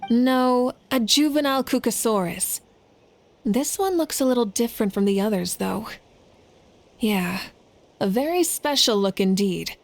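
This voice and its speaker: Slow, composed woman leader. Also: a little high, lively intonation. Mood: calm. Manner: measured, poised, formal wording. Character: composed, dignified adult woman leader, gently amused. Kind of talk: measured video game voice acting. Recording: faint music behind the voice.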